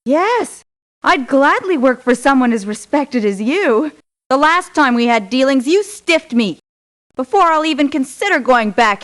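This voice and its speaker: An actress playing a proud, aggrieved mercenary for hire in a video game, with a curt, assertive delivery. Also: female voice, high-pitched, fairly bright tone. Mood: businesslike, curt. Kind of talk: scripted video game voice lines. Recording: lo-fi muffled game clips in places.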